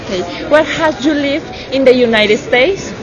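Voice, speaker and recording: High-pitched woman interviewer amid crowd noise. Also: measured pace. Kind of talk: student video report interview. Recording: noisy public place.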